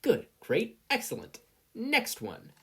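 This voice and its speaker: Reader playing a brisk, confident heroine mentor. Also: mid-range voice, wildly swooping pitch, pushed and bright, slightly rough, voice pushed up, heavily acted. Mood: rapid, testing. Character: brisk, confident female pro-hero mentor.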